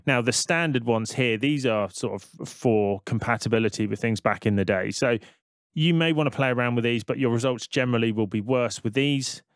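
A man giving a casual, conversational software tutorial voice-over, explaining things step by step. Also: medium pitch, normal speed, no room sound, studio quality. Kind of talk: casual screen-recorded tutorial narration.

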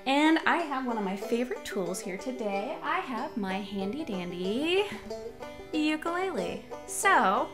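A woman's voice: on the high side, very animated, unhurried, music in the background. A young woman librarian leading a children's storytime, talking cheerfully in a sing-song way to young listeners from a small room at home. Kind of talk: children's storytime with songs and read-aloud. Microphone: large studio mic on a boom arm. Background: ukulele.

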